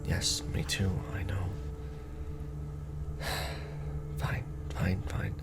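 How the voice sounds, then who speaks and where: A man playing a jaded, sardonic manager at a secret society in an ASMR roleplay, speaking in a dry, world-weary deadpan. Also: low-pitched, very slow, vocal fry, heavily acted. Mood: harried, exasperated. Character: jaded, sardonic male Illuminati manager briefing a new recruit.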